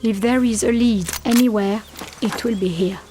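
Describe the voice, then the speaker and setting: A woman's voice: medium pitch, normal speed, natural voice. Lady doing a resistance leader, serious and urgent, music going under her. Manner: earnest, urgent. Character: serious female resistance leader briefing an agent.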